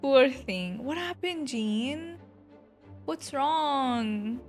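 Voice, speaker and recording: High-voiced playful woman streamer. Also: lively intonation, very slow. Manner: playful, slangy, exclaiming. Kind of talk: casual game reaction commentary. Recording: game music underneath.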